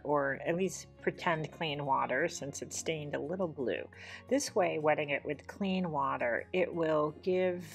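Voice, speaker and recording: Low-voiced woman calmly teaching watercolor. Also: vocal fry. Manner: measured, explains each step plainly. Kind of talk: calm step-by-step painting demonstration voice-over. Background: soft guitar music.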